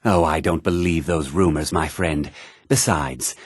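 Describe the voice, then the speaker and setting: An actor voicing a haughty, scheming fantasy townsman in a video game, with a husky voice and theatrical, condescending phrasing. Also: rumbling low, measured pace, no echo, clean studio sound, full character voice. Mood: smooth, obliging. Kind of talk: video game character dialogue.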